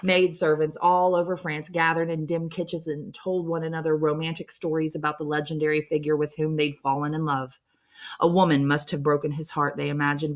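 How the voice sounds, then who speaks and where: A woman with a low-pitched voice reads a novel aloud. She narrates in the manner of an English teacher sharing a story, following the written prose.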